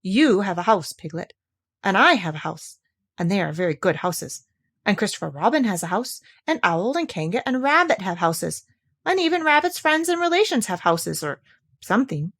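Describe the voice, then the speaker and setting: A good-natured male toy bear of very little brain, done by a woman reading a storybook. He talks slowly and earnestly, with a lively, swinging melody. He sounds simple and a bit muddled. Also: mid-range voice, breathy edge, exaggerated voice.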